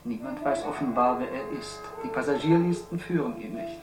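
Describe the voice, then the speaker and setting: An actor in a 1960s German radio drama playing a brooding man who recites in a measured, declamatory way. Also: medium pitch, lightly acted. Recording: echoey studio, music underneath.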